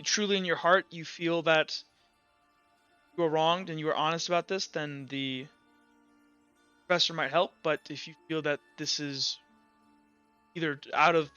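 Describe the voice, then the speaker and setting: Guy doing a formal solemn judge for a tabletop game, halting delivery, kinda high clear voice, music behind. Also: sharp tone, dry recording, muffled recording. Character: solemn, formal tribunal judge, measured and procedural. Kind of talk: tabletop roleplay character speech.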